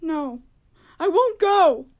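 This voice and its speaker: A woman's voice: extremely high pitch, lively intonation, slow, a little mellow, heavily acted. A woman playing a frightened, defiant teenage girl in an audio horror drama.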